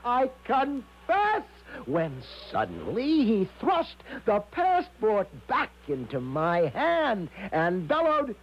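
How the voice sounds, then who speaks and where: A man's voice: high-pitched, wildly swooping pitch, slow pace, hardly any pauses, slight background noise, exaggerated voice. An actor playing a timid, naive, anxious young American man in a 1970s radio drama, stammering and hesitant as he talks and narrates. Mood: nervous, awed recounting. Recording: dull lo-fi 1970s radio broadcast, music behind.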